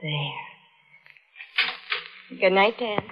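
An actress playing a scheming, composed fiancée, deliberate and theatrical, in an old-time radio suspense drama. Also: female voice, mid-range voice, animated, slight background noise. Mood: tender, wistful. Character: scheming fiancée, sweetly coaxing, coolly calculating. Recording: dull, lo-fi old radio recording.

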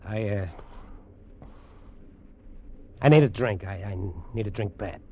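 An actor playing a bitter, weak-willed, hard-drinking nephew, sardonic and faltering, in mid-century radio drama style. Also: male voice, lowish voice, fairly quick, loud music behind the voice, lightly acted. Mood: frightened, shaky. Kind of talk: 1940s-50s radio drama acting. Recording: muffled old radio recording with hiss.

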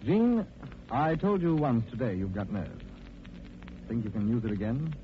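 Expressive, self-assured actor as detective, old radio. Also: male voice, mid-range voice, a little slow, close to an everyday voice. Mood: calm, assured. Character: confident, composed male detective, calmly in charge. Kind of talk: 1940s radio acting. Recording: dull, band-limited, noisy 1940s radio recording.

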